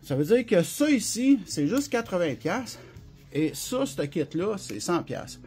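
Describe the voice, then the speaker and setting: Casting: man, Quebec French accent, notably high voice with a rough husky edge, informal rambling product demonstrator. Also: big pitch swings, a little slow, darkish tone, faint music behind. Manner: colloquial, rambling, hands-on explaining. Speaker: CB radio specialist and seller presenting products.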